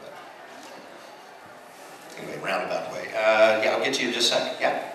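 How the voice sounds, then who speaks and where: A man's voice: on the low side, fairly quick, sharp tone. A middle-aged American man, a voice actor telling casual anecdotes on a convention panel and acting out the exchanges he quotes. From United States. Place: large convention hall, on stage. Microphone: handheld mic through PA, filmed from audience. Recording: echoey hall from far off, noisy.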